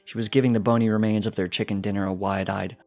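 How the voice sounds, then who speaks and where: A man's voice: fairly low pitch, flat delivery, fast, a little mellow, faint music behind. A man reading a scripted fiction story as its narrator.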